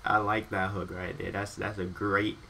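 A man in his twenties with an American accent gives casual, slangy reaction commentary. His voice sits fairly low. He speaks excitably and often doubles back on his phrases. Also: moderate pace, vocal fry, slight background noise. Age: 20s. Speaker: reaction video host. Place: small bedroom, indoors. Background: hip hop music playing.

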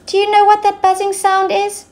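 A woman's voice: very high-pitched, monotone, normal speed, pitched above the performer's own voice. A woman voicing a shy, curious female zebra in a storybook, with a wondering, questioning manner. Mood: puzzled.

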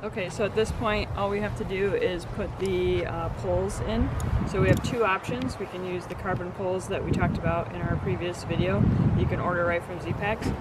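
A woman's voice: on the low side, fairly even intonation, moderate pace. Middle-aged woman, casual outdoor demonstrator, recorded on a camera mic with heavy wind noise. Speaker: host demonstrating tent setup. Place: grassy yard outdoors beside a house.

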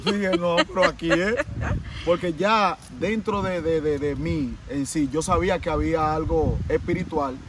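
Man, Dominican Spanish accent, earnest and repetitive, somewhat high voice, distant mic, noisy background. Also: moderate pace. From Dominican Republic. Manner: earnest, repeats phrases, restarts often. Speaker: interview guest, vodou practitioner. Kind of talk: casual interview.

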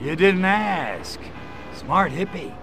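An actor voicing a cynical, menacing little alien invader in a video game, sneering and full of wisecracks. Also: male voice, high voice, slow, background noise, full character voice. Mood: wry. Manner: wisecracking, sneering, deadpan asides. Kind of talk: video game cutscene acting.

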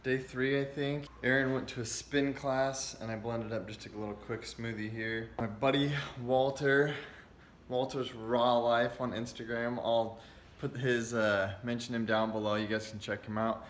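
A man's voice: mid-range voice, fairly even intonation, normal speed, a little hiss or hum. A young man talking casually to the camera in a vlog, friendly and joking. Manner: friendly, joking, laid-back. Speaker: vlogger.

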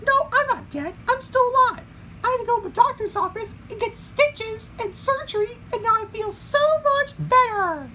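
An amateur voicing a cheerful little male mushroom creature in squeaky, chirpy falsetto on a noisy home recording. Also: moderate pace, exaggerated voice. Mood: cheerful relief. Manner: excitable, chirpy.

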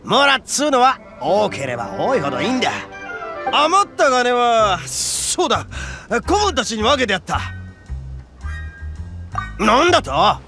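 An actor playing a brash, boastful horned oni gang boss who talks in a rough, swaggering way. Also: male voice, extremely high pitch, big pitch swings, unhurried, pauses often, full character voice. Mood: cocky. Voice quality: rough, swaggering, calls himself grandly. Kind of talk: Japanese game voice acting. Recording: game audio with faint music underneath.

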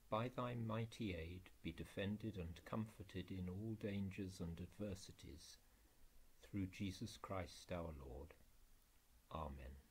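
A man reading scripture and prayer for an Anglican service in a formal, measured, solemn manner. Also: lowish voice, a little mellow, slightly rough, rough recording. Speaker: reader in an Anglican church service.

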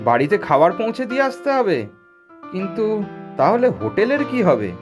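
A man voicing a kind, dutiful village food-hotel owner in a cartoon, earnest and expressive. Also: sits high, a little fast, faint music behind. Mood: hesitant. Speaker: cartoon voice actor. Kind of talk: Bengali cartoon dubbing.

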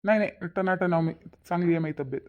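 A woman's voice: lowish voice, fast talker, close to an everyday voice. Woman voicing an easygoing village man, muffled sound. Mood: placid, reassuring. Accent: Varhadi Marathi from Vidarbha. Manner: placid, reassuring, brief replies. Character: easygoing village husband, obliging younger brother. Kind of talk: Marathi cartoon family-drama dialogue.

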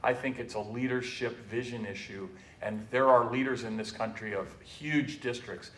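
A man's voice: medium pitch, normal speed, fairly bright tone. Panelist, male, 60s, American, emphatic and persuasive, recorded off-mic in a reverberant room. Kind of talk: panel discussion, persuasive argument. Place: meeting room, indoors. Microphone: tabletop stand microphone. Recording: echoey room, distant microphone.

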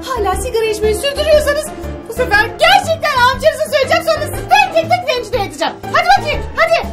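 Shrill, bossy, spiteful aunt. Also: female voice, animated, very fast, over music. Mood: bossy, threatening. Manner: scolding, exaggerated. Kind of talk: comic TV family drama acting.

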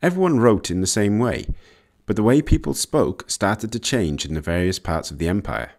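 Male educational narrator, low register, animated pitch movement, scripted delivery. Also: normal speed. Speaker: narrator of an educational language video.

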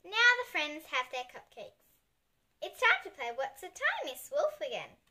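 A woman's voice: high-pitched, wildly swooping pitch, a little slow, bright, forceful tone. A young girl reading a picture book aloud expressively, with chatty asides to listeners. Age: child. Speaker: young host reading picture books for kids. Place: small indoor room with bookshelves.

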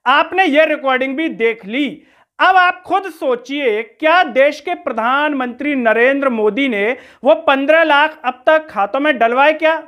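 High-pitched man, questioning commentator. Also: a little slow, fairly bright tone. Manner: rhetorical, questioning, accusatory. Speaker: news commentary host. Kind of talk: political commentary to camera. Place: small room indoors.

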